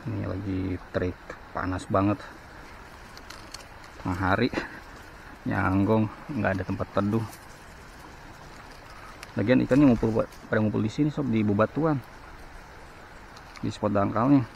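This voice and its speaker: A man vlogging while slingshot fishing on a riverbank, giving excited running commentary. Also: lowish voice, unhurried, vocal fry, pauses often, dull audio, slight background noise. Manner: excited exclamations and frequent thanks to God. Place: outdoors on a riverbank in hot sun. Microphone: head-mounted action camera.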